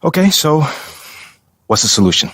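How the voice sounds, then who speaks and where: A man's voice: fairly high pitch, big pitch swings, normal speed, fairly bright tone, a little hiss or hum. A man playing a confident, easygoing young rookie basketball player in a video game, talking in casual slang. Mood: casual, sincere. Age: young adult.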